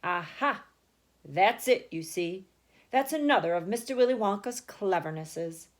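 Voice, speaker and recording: A woman voicing an old grandfather telling a storybook tale, secretive and excitable, with slow dramatic pauses. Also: mid-range voice, natural voice. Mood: hushed, mysterious.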